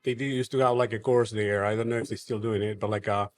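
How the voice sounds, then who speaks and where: A man's voice: lowish voice, fairly even intonation. A man from Argentina with an Argentine Spanish accent, a brewery co-founder talking casually in an interview, with frequent fillers and restarts. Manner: halting, many fillers and restarts.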